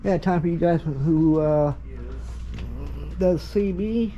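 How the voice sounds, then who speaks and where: A man's voice: fairly high pitch, slow pace, dark tone, slight background noise. An American man giving an informal, opinionated walkthrough of radio gear and advising listeners what to buy. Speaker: vlogger showing radio gear in a store. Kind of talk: informal off-the-cuff product walkthrough. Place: inside a busy radio store. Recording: muffled audio with busy store chatter. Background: other people talking.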